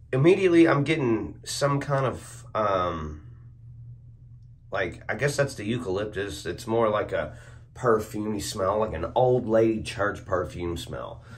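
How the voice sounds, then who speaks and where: Hoarse young guy reviewing soap at home, slow easygoing talk, phone cam at arm's length. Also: lowish voice. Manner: laid-back, chuckles at his own jokes. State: hoarse, losing his voice. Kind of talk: casual product review. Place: small indoor room at home. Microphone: phone or webcam at arm's length.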